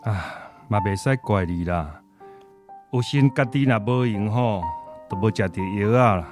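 An older man with a Taiwanese accent, acting a kindly, ailing grandfather in a radio drama, gentle and folksy. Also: on the low side, over music, close to an everyday voice. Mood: kindly, easygoing. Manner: gentle, folksy, halting when unwell.

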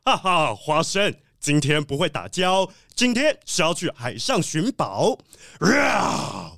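Guy doing a proud panther ship owner for a kids audio story, highish male voice, super lively and theatrical. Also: takes it slowly, vocal fry, no room sound, studio quality, full character voice. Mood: hearty, proud. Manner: theatrical, exclamatory, welcoming. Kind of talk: children's audio drama acting.

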